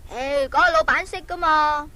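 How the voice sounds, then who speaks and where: 一個女人喺兒童廣播劇入面，用香港廣東話扮童話入面一個熱心嘅哥哥，把聲好似細路仔，吱吱喳喳咁興奮。. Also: female voice, fairly even intonation, moderate pace, pushed and bright. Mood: questioning. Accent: Hong Kong Cantonese. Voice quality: very high, childlike voice. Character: eager, boyish elder son in a fairy tale. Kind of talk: Cantonese children's radio drama.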